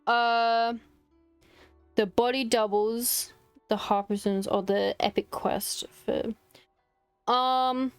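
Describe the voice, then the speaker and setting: Brief: female game streamer, high clear voice, slow casual read-aloud with informal asides, dry mic. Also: steady tone, no echo, soft background music. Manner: informal, reacts and mutters between read lines. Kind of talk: casual livestream play, reading dialogue aloud.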